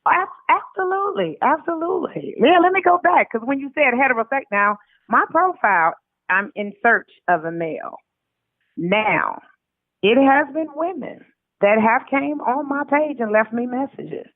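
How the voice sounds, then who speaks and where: A woman's voice: high voice, animated, moderate pace, dry recording. An American woman telling personal stories casually as an interview guest, colloquial and acting out quoted dialogue. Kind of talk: casual conversational storytelling. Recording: tinny narrow phone line.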